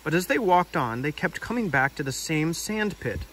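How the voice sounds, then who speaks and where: A man's voice: a little high, very animated, moderate pace, a bit bright, slight background noise, poor audio. A man hosting a read-aloud outdoors, narrating a storybook with casual asides. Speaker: storybook reader and video host. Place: outdoors by a river.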